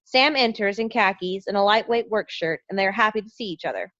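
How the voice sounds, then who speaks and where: A woman's voice: mid-range voice, a little fast, a bit bright. A woman reading stage directions as scripted narration in a table read over a video call. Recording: slightly dull video-call audio.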